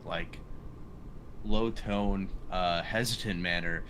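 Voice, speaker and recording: A man plays a tabletop game, chatting casually in a halting way. His voice is bright and on the low side. A little noise sits in the background. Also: fairly even intonation.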